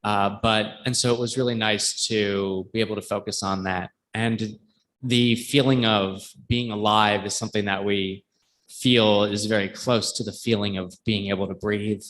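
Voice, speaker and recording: Low-voiced man, halting and reflective. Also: steady tone, fairly bright tone, low-quality recording. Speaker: congregation member. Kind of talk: informal personal sharing at church. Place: church service, live. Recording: faint background noise, live room.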